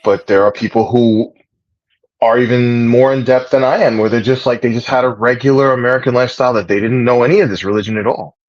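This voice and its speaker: A man's voice: mid-range voice, steady tone, fast talker, no room sound, highs cut off. A man hosting a casual conversation, talking in a rambling way with many fillers and restarts. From Puerto Rican heritage, raised speaking English. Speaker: podcast host.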